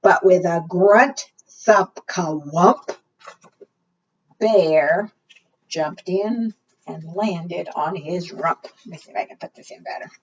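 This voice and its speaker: A woman doing an expressive storytime read-aloud for preschoolers, often putting questions to her young listeners. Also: on the low side, takes it slowly, breathy edge. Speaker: children's storytime librarian. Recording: distant, dull lo-fi microphone sound.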